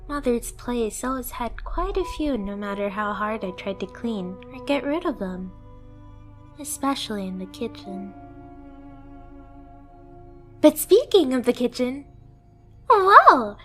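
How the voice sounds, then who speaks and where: A woman's voice: sits high, fairly bright tone, full character voice. A woman voicing a submissive, obsessively devoted stray cat girl in an anime ASMR roleplay, with a girlish, eager, self-deprecating manner. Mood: awed, excited. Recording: music behind the voice. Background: music.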